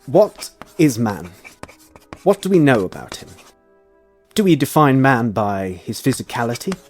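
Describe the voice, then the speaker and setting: An actor playing a driven, earnest Victorian scientist in a period drama, speaking formally and with rhetorical intensity. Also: male voice, mid-range voice, big pitch swings, unhurried, a little mellow, soft background music. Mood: impassioned lecturing. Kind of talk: theatrical period audio drama acting.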